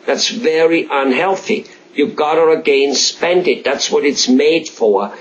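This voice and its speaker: A man with a slightly high voice and an Austrian accent speaks as an interviewer. He rambles through examples haltingly, repeating himself for emphasis. There is faint noise behind him. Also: muffled recording. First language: German.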